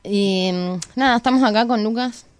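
A woman's voice: medium pitch, moderate pace, dry recording. A woman conducting an informal radio interview, hesitant and loosely phrased.

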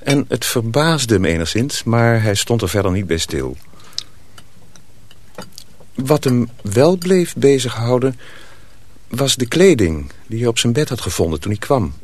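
A man's voice: medium pitch, big pitch swings, unhurried, long pauses, slight background noise. A man narrating a radio drama from a script as a storyteller.

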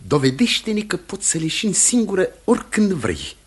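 An actor playing an indignant, accusing village pastor in a radio drama, speaking formally and insistently. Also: male voice, sits high, huge pitch swings, husky, exaggerated voice. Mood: goading. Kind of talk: theatrical radio drama acting.

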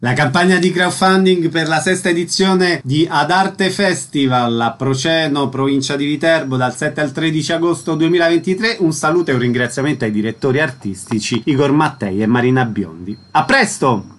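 A man hosts a radio programme in a somewhat high voice. He keeps to brief, plain questions and announcements. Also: moderate pace, hardly any pauses, a bit bright. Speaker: radio host. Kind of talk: radio interview.

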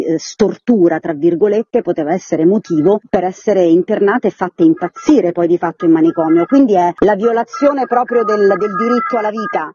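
A woman's voice: lowish voice, warm tone, husky, no echo, muffled recording. A woman, explaining her work emphatically and enthusiastically in a radio interview. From Abruzzo, Italy. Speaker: interviewed theatre co-director.

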